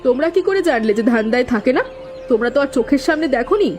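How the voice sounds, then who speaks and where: A spiteful village housewife from a cartoon, acted by a woman. She talks fast in a high voice, scolding and accusing with a hot temper. Faint music plays behind her. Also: animated. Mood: indignant gossip. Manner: sharp-tongued, scolding, accusing. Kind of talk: cartoon voice acting.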